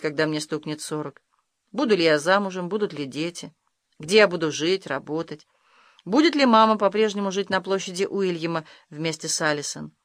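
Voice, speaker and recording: A narrator reads literary fiction in the first person, at a medium pitch. The recording is dry and close, with no room sound around the scripted storytelling. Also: fairly bright tone, no room sound. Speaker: audiobook narrator.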